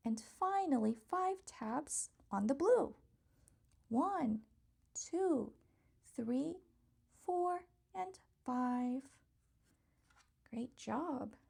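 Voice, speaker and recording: Female children's read-aloud host: high, swooping delivery, unhurried, encouraging, talks straight to little listeners with a touch of husky fry. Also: darkish tone. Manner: encouraging, coaxing, addressing small children directly; playful, exclamatory reactions. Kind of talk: interactive children's picture book read-aloud.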